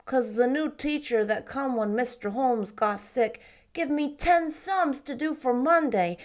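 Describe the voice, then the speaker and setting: A woman's voice: high-pitched, measured pace, pitched above the performer's own voice. A woman voicing a gloomy ten-year-old boy who talks in a sulky, plainspoken way. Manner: sulky, plainspoken, stumbles over big words.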